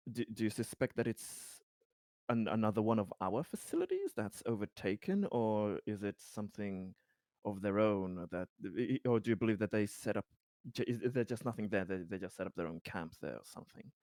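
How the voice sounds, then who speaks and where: A man's voice: on the low side, sing-song, fairly quick, darkish tone, no room sound, studio quality. A man improvising a hesitant, polite, earnest colony official in a sci-fi roleplaying game, stammering and deferential. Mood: baffled, concerned. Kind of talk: improvised in-character tabletop roleplay.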